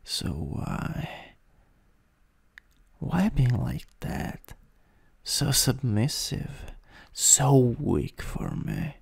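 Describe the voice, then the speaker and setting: A man with an Italian accent voicing a cruel, mocking vampire master in an improvised ASMR roleplay, breathy and menacing, close to the microphone. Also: medium pitch, sing-song, heavily acted. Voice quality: breathy, husky, gravelly. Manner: menacing, drawn-out, condescending. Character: cruel, mocking male vampire master taunting his servant. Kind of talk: improvised ASMR roleplay, slow and partly whispered. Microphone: close USB microphone.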